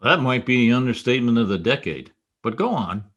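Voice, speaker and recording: A man's voice: mid-range voice, sing-song, a little fast, fairly bright tone, dull audio. A man playing a wry, hard-boiled, dogged private detective in an old-time radio style drama. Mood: dry, wry.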